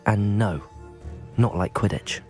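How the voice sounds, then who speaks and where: Young student wizard, lowish voice, calm, polite, understated, game cutscene, light music behind. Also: male voice, takes it slowly. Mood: measured, earnest. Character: calm, measured, curious teenage student wizard, male. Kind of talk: video game cutscene acting.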